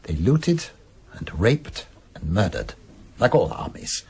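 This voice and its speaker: An actor playing an earnest, troubled middle-aged husband in a radio drama, emphatic yet hesitant, with a raspy voice. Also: male voice, on the low side, sing-song, takes it slowly, darkish tone, full character voice. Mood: troubled, ashamed.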